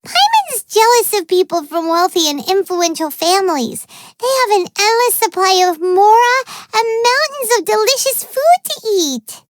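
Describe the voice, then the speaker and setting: Squeaky, excitable little fairy companion. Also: female voice, normal speed, hardly any pauses, background noise. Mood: envious, earnest. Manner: speaks of self in third person, exclamatory, whiny. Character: tiny excitable childlike fairy companion, greedy, naive and dramatic. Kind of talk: video game character dialogue.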